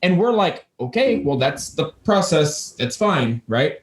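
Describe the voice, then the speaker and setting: Higher-voiced jokey man in echoey room. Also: big pitch swings, unhurried. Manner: profane, jokey, rambling. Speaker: podcast host. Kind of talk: casual banter and anecdotes.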